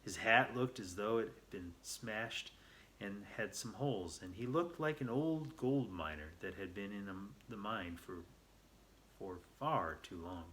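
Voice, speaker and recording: A man's voice: fairly low pitch, unhurried, fairly bright tone, a little hiss or hum. A man reading a children's story aloud in an earnest, unpolished way, stumbling now and then. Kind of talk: read-aloud narration.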